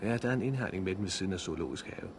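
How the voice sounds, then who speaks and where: Crackly noisy 60s danish radio play, calm practical survivor guy, low creaky voice. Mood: matter-of-fact. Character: calm, practical male survivor, protective and matter-of-fact. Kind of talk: 1960s Danish radio drama acting. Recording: noisy, clicking 1960s radio recording.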